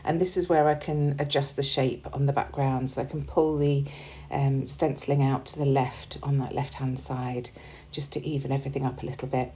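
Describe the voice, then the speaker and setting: A woman with a slightly hoarse voice, chatting in a relaxed way as she narrates a scrapbooking process video, with plenty of ums. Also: extremely low pitch, normal speed. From United Kingdom. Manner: relaxed and chatty, with frequent ums and small laughs. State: slightly hoarse, losing her voice. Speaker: crafting channel host.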